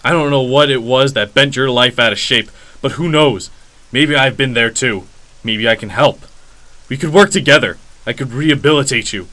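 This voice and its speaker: An actor playing a grim, earnest vigilante superhero in a radio drama, speaking sternly and deliberately with intensity. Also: male voice, medium pitch, pushed and bright, close to an everyday voice, poor audio. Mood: earnest pleading.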